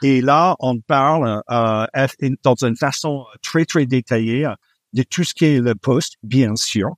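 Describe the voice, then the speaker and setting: A middle-aged man speaking French with a foreign accent, not his first language. He answers an interviewer at an easy pace, filling pauses with "euh". The sound is dry and close, with no room around it. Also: mid-range voice, no echo. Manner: frequent hesitations with "euh", warm laughs. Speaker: guest, executive recruiter. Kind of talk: relaxed interview conversation.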